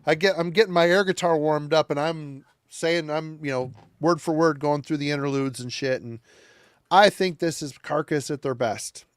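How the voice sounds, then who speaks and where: A man with a somewhat high voice talks in casual podcast chat. He is emphatic, repeats himself and swears freely. The sound is dry and close. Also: moderate pace, clear, resonant tone, dry recording. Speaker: podcast co-host. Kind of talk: casual chat about metal albums.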